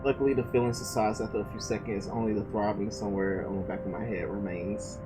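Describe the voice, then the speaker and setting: A man's voice: lowish voice, fairly even intonation, fast, music in the background. A man reading the story of a visual novel aloud, stumbling and restarting often, with a casual manner.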